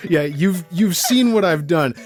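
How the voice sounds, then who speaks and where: A man's voice: sits high, animated, a little slow, faint music behind. A man hosting a casual podcast chat, introducing guests with playful jokes. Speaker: podcast host.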